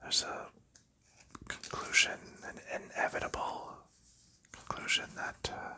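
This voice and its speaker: A man whispers for an ASMR video in a very bright, airy tone. His talk rambles at an unhurried pace, full of little fillers and soft laughs. He chats as he sorts board game pieces. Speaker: ASMR creator.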